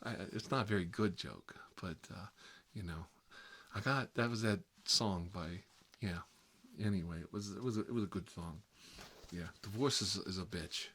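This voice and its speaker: A man's voice: low voice, normal speed, a bit bright. A man playing a put-upon, self-deprecating middle-aged uncle in a rambling, folksy ASMR comedy role-play. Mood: wry, rambling.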